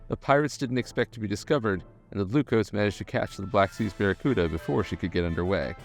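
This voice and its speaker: Low-pitched male narrator over music. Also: gravelly. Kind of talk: scripted documentary-style narration. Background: background music.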